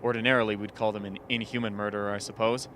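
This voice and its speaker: Guy playing dry clever camp man, medium pitch, sharp tone, radio play, faint hum behind. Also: little pitch movement, natural voice. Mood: dry, reasoning. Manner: deliberate, wry reasoning. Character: shrewd, dry-witted man of an isolated camp. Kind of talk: radio drama acting.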